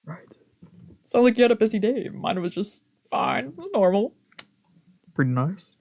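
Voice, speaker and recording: A casual game streamer with a mid-pitched voice. They read story text aloud and break off into loose, sweary commentary and exclaimed reactions. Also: big pitch swings, a little slow, darkish tone. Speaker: streamer doing a let's play.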